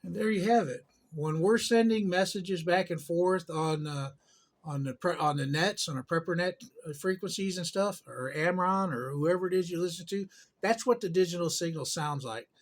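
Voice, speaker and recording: A man's voice: sits high, normal speed. A man giving a casual hands-on tech tutorial in a folksy, rambling way with informal grammar. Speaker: tutorial presenter.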